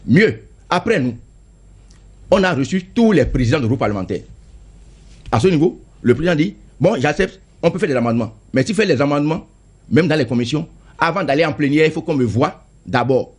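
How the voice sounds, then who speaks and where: Brief: man, MP in a heated debate, husky, rapid, insistent, repeats himself. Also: fairly high pitch, animated. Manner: insistent, repeats and stammers on words. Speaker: member of parliament.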